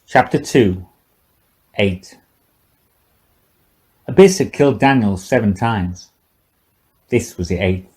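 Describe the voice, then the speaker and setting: A man's voice: lowish voice, slow pace, pauses often, a little mellow. A man narrating scripted fiction for an audiobook.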